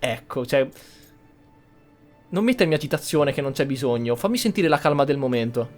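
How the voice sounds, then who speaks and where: A man's voice: medium pitch, expressive, fast talker. A man giving casual, conversational and opinionated commentary as he plays through a game. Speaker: gaming channel host. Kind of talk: casual let's-play commentary. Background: faint game music.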